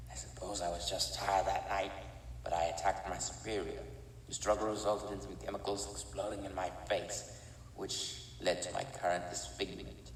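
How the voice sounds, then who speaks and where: A man playing a disfigured criminal chemist, speaking in a calm, detached, matter-of-fact way in an audio drama. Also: deep voice, lightly acted. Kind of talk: fan audio drama acting. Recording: echoey, distant room with faint noise.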